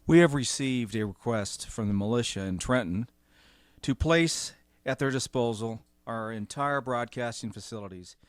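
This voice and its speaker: A man's voice: medium pitch, moderate pace, dry recording, close to an everyday voice. An actor playing a male radio executive giving a formal statement in an old-time radio drama. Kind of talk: 1930s radio drama acting.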